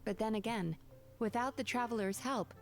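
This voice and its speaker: An actress playing a composed, gentle-mannered young woman therapist in a video game, speaking in measured, formal phrases. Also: a little high, natural voice. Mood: calm, reasoned. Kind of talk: scripted video game dialogue. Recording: game music behind the voice.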